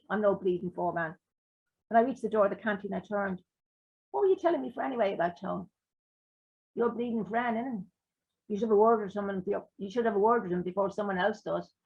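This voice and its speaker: A woman reading aloud as a blunt, sweary working-class man who drives a forklift, in her own husky voice. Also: mid-range voice, a little mellow, highs cut off. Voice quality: rough, sweary talk.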